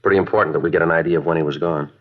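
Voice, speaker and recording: A man acting a stoic, terse police detective in an old-time radio drama, matter-of-fact and deadpan. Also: very deep voice, racing. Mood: businesslike. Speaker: lead actor and narrator of a detective series. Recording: dull vintage radio broadcast with music.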